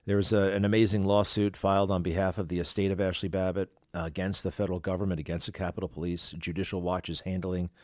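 Low-voiced, quick-talking male interviewer. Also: little pitch movement, a little mellow, no echo, clean studio sound. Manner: rapid, halting questions with fillers. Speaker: interview host. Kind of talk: podcast interview.

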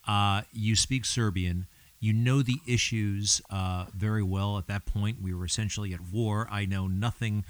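A man's voice: on the low side, fairly even intonation, measured pace. A man interviewing a guest, asking long, chatty questions with fillers. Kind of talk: conversational interview.

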